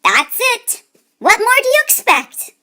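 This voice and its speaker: A woman's voice: very high voice, wildly swooping pitch, measured pace, pushed and bright, pitched above the performer's own voice, exaggerated voice. A woman voicing a mischievous, sulky schoolboy in a children's storybook, petulant and exclamatory. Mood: defiant.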